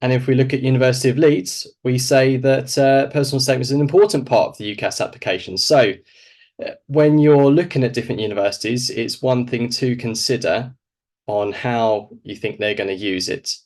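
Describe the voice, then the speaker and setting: A man's voice: mid-range voice, a little fast, a little mellow. A man with a snuffly cold giving an informal, explanatory online presentation, posing questions as he goes. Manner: explanatory, poses questions then answers them. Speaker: presenter, doctor advising medical school applicants.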